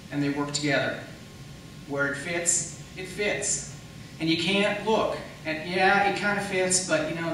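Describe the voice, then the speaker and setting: A man's voice: fairly high pitch, pushed and bright. A man in a political debate making an argumentative rebuttal as a candidate, explaining step by step and posing questions. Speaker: candidate for county attorney. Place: large echoey room at a public debate. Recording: echoey room, distant mic, faint noise.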